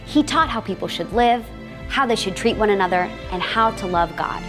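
A woman reading a children's Bible story narration in a calm, measured way. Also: medium pitch, music in the background.

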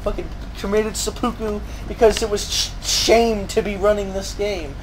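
A woman's voice: mid-range voice, steady tone, moderate pace. Exasperated woman ranting, noisy recording. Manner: exasperated, profane, emphatic, repetitive. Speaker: gaming video creator. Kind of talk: angry rant over gameplay.

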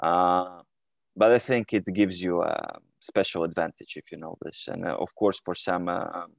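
A man from Croatia in his thirties speaks over a video call from a room at home. His voice is deep with a gravelly edge, and the sound is dry and close. He talks in a hesitant way, full of fillers and restarts. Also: steady tone, normal speed, no echo. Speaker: guest. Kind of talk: casual interview answers.

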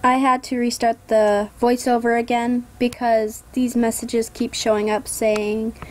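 A woman casually narrating her gameplay voiceover, a bit hoarse from a coming cold, hesitant with many ums and trailing off. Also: fairly high pitch, flat delivery, unhurried, slight background noise. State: hoarse, getting sick. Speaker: gaming vlogger.

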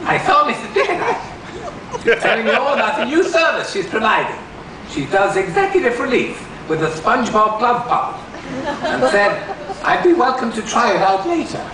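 A high-pitched, eager, childlike man put on by an older male reader from a comic storybook. He is naive and chirpy, lifting far above normal talk, in a very echoey theatre. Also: sing-song, moderate pace, crowd noise, exaggerated voice. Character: silly, childlike, eager, effeminate grown man John. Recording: echoey theatre, distant camera mic, loud noise.

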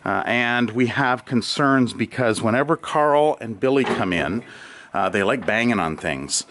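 A man's voice: mid-range voice, expressive, measured pace, noisy background. A middle-aged man casually walking through his farm, explaining repairs with many fillers and light chuckles, recorded outdoors on a handheld camera. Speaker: farm vlogger. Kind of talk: casual walkthrough commentary. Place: outdoors on a farmyard.

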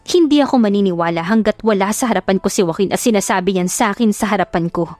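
A woman's voice: mid-range voice, fast, darkish tone, no room sound, faint music behind, close to an everyday voice. A woman voicing a fierce, heartbroken young working-class woman in an audio drama, insistent and confrontational. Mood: defiant. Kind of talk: audio drama storybook acting.

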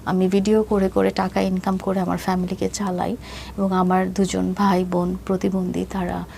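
Woman, fifties, Bangladeshi accent, creaky voice, hesitant storytelling, English mixed in, faint background noise. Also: lowish voice, monotone, dark tone. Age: 50s. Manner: hesitant, halting delivery, mixing in English phrases. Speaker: interview guest. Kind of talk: personal storytelling in an interview.

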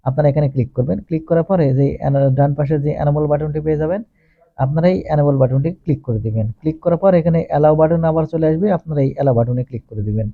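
A man's voice: on the high side, very fast, no echo. A man presenting a step-by-step tech tutorial as a voice-over, with repetitive, methodical phrasing. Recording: dull, lo-fi close recording.

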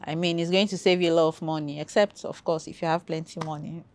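A Nigerian woman with a Nigerian accent, a travel content creator giving advice as a podcast guest in a relaxed, chatty way and repeating points for emphasis. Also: sits low, fast. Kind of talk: casual conversational interview.

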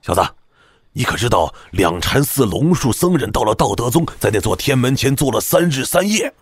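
An actor playing a gruff, gloating old swordsman with a gravelly voice in a wuxia audio drama. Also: medium pitch, sing-song, normal speed, no echo. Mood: gossipy, grim. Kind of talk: Chinese wuxia radio drama acting.

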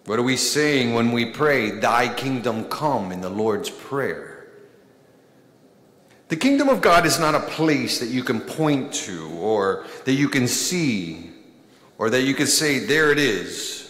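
Slow deliberate priest in echoing church. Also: male voice, medium pitch, big pitch swings, fairly bright tone. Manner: slow, deliberate preaching with emphatic pauses and gestures. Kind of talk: sermon. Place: large church, at a pulpit. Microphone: pulpit microphone. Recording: echoey church with long reverb.

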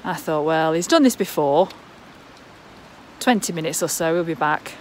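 A woman's voice: sits low, animated, moderate pace, a little hiss or hum. A woman, talking worriedly into a phone held at arm's length in a casual vlog. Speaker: vlogger. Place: outdoors in the countryside and indoors at home.

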